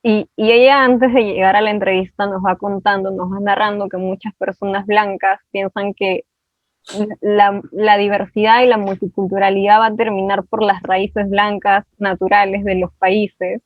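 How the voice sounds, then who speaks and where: A woman's voice: mid-range voice, level tone, no room sound. A woman conducts the interview, often stopping and restarting her sentences. Her voice comes through thin and phone-like, as if over a call. The sound is very dry, with no room behind it. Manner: halting, with repeated restarts. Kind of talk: conversational interview. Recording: dry, tinny phone-like line.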